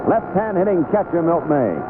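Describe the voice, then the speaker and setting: A man giving unhurried, measured live play-by-play of a baseball game as a radio announcer. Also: fairly high pitch, very animated. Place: ballpark broadcast booth. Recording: noisy narrowband 1970s AM radio broadcast.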